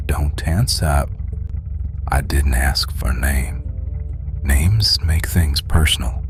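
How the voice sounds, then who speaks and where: Man acting a very deep, gruff werewolf rancher. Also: a little mellow. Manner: terse, deliberate, commanding. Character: dominant, watchful alpha werewolf rancher, gruff and protective. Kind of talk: slow, intimate ASMR roleplay acting.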